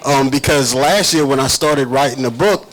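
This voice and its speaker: A man's voice: medium pitch, normal speed, a bit bright, low-quality recording. A man, a guest author, telling an anecdote casually in an interview.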